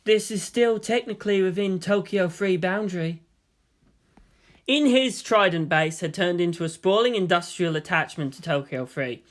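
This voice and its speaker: Casting: male fiction narrator, higher-pitched voice, scripted storytelling. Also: normal speed. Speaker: audiobook narrator of fan fiction.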